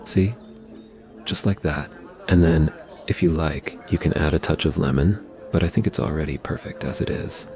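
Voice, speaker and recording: A man voicing a smug, affectionate mafia boss in a romantic ASMR roleplay, soft and teasing. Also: rumbling low, moderate pace.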